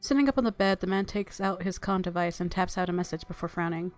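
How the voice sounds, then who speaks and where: A woman's voice: fairly low pitch, quick pace. A woman reading visual novel narration aloud as a let's player, with casual, playful reactions. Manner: playful, giggly commentary. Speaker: let's play YouTuber. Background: game music.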